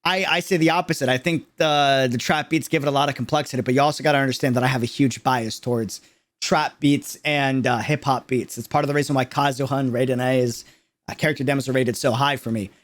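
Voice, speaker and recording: A man's voice: mid-range voice, fairly even intonation, fast talker. A young man hosting a livestream reaction, geeking out in casual, slangy, rambling commentary with an enthusiastic manner. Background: music playing behind the voice.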